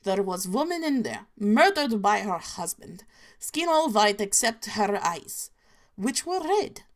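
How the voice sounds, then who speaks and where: A woman's voice: medium pitch, very animated, takes it slowly. Woman putting on a creepy hotel desk lady, fake russian accent, warning tone. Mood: ominous. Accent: mock Russian. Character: stern hotel desk clerk woman, cryptic.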